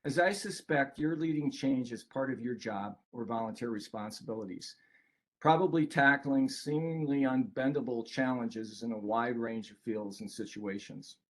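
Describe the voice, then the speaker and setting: A man's voice: mid-range voice, normal speed. A man co-presenting an informal online webinar, speaking as an author.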